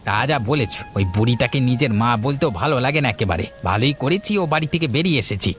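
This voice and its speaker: A man's voice: medium pitch, expressive, fast talker, soft background music, natural voice. A man voicing a petty, selfish village family man in a cartoon story, blunt and grumbling in manner. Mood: dismissive. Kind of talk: Bengali cartoon story voice acting.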